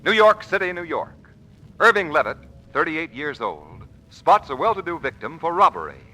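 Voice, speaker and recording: Bright, animated old-time radio announcer, male. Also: a little high, normal speed, low-quality recording. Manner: polished, emphatic, dramatic. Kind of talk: 1940s radio announcing, scripted. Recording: old radio broadcast recording.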